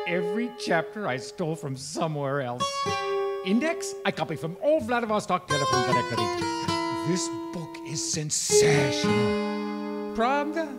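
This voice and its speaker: A man's voice: sits high, exaggerated voice. An older man playing a gleeful, mock-solemn Russian mathematician rogue with a Russian accent, half singing and half speaking a comic patter song. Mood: boastful. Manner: theatrical, mock-solemn, hammy. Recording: loud acoustic guitar accompaniment.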